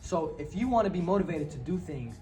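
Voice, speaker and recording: A man's voice: a little high, lively intonation, a little fast, mellow, recorded from a distance. A young man from Palestine, a podcast guest, telling a personal story in casual chat, earnest and reflective, often restarting his phrases. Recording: set back from the mic, with music behind.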